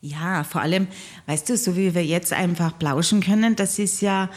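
A woman's voice: on the low side, moderate pace, a little mellow. A woman in her forties with an Austrian accent, chatting as an interview guest and telling anecdotes with many fillers. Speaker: guest singer and TV presenter. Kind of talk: relaxed interview chat.

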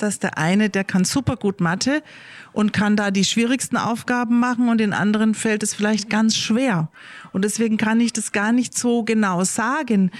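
A woman's voice: mid-range voice, no echo. Patient woman explaining things to children. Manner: slow, careful, clear wording for kids.

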